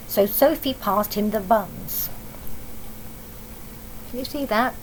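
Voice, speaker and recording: A woman's voice: high-pitched, slight background noise, rough recording. A woman with an English accent reading a storybook aloud as a teacher, expressively, with explaining asides and questions for young children.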